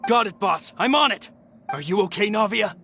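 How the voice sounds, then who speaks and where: A man's voice: sits high, animated, normal speed, exaggerated voice. A man voicing a loyal male subordinate in a game cutscene, urgent and earnest. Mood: concerned. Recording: game audio with loud music.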